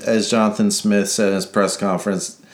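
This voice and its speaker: Man from Mississippi, low voice, rambling sports chat, echoey room. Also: little pitch movement, a little fast, slight background noise. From Mississippi, United States. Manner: rambling, self-correcting, playful. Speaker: podcast co-host.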